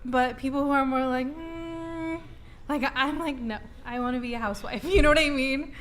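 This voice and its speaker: Lively high-voiced young woman, bit off-mic. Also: normal speed, a little hiss or hum. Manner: animated, acts out other people's voices. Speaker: panel guest. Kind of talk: panel discussion.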